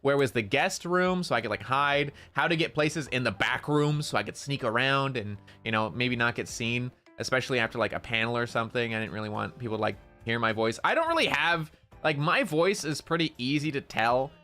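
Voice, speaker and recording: Loud nasal young man, bright-toned. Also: medium pitch, a little fast, hardly any pauses, faint music behind. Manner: loud, excitable, slangy. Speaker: streamer. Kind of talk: casual stream chat.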